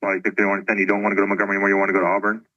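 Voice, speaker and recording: A man's voice: deep voice, monotone, racing, bright, forceful tone, no room sound. A man speaking over aviation radio in clipped, procedural air traffic phrasing. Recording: dull, band-limited aviation radio.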